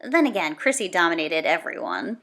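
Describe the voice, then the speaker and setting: Animated woman reading a storybook aloud. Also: fairly low pitch, creaky. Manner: dramatic, emphatic delivery with punchy exclamations and drawn-out key words. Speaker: storybook read-aloud reader. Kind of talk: expressive read-aloud narration of a children's nonfiction book.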